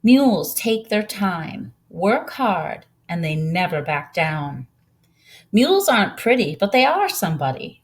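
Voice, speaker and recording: A woman voicing a proud, gentle older woman who tells her story in an earnest, unhurried way, as in a storybook. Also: medium pitch, big pitch swings. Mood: solemn pride.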